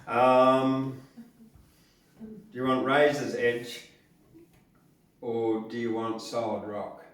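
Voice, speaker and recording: An Australian man with a croaky, dry throat, chatting hesitantly on stage at an open mic between songs, repeating words as he decides what to play. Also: mid-range voice, level tone, drawn-out words. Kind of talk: casual banter between songs. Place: indoor venue hall. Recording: echoey hall from far off.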